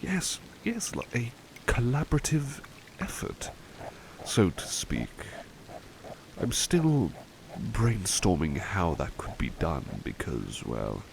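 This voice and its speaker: A man's voice: sits low, sing-song, takes it slowly, full character voice. A man playing a devoted, mild-mannered butler in an ASMR roleplay, speaking politely and formally, with hesitant, musing phrasing. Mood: eager, hesitant. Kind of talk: ASMR roleplay, personal attention. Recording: clearly noisy ambience behind voice.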